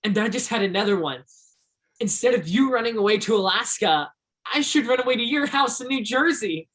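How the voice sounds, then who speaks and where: An actor in a stage play as an earnest, romantic teenage high school boy with a theatrical way of talking. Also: lively intonation, a little fast, a bit bright, heavily acted. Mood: hopeful, eager.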